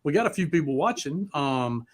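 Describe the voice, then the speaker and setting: A man hosting a live music chat, talking casually and haltingly with loose swearing. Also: a little high, sing-song, crowd noise. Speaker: host of a live music talk show.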